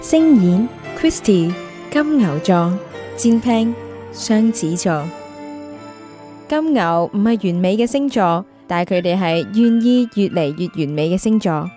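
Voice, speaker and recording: Narrator for scripted radio intro: woman, Hong Kong Cantonese, warm mellow tone over light music. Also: mid-range voice, animated, a little slow. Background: music under the voice.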